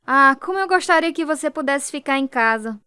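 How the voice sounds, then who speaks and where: A woman's voice: sits high, lively intonation, brisk, bright, forceful tone, no echo, studio quality. An actress playing a devout, hurt young daughter who tells her life story earnestly in a Brazilian Portuguese radio drama. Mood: tender, sad. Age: young adult. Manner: earnest, heartfelt. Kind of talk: radio drama narration and dialogue. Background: music.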